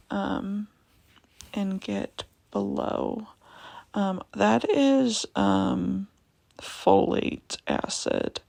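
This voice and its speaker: A young woman with a raspy voice giving a casual vlog voiceover, hesitant, with many ums, and repeating herself. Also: medium pitch, darkish tone, no echo. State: raspy voice, going in and out. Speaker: vlogger.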